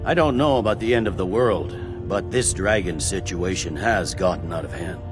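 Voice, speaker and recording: Stern military commander for a fantasy game, played by a man: lowish, curt, impatient authority. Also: moderate pace, music in the background, close to an everyday voice. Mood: measured, grudging. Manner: clipped, commanding, exasperated. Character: stern, impatient middle-aged Imperial army general. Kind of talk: dramatic fantasy game dialogue.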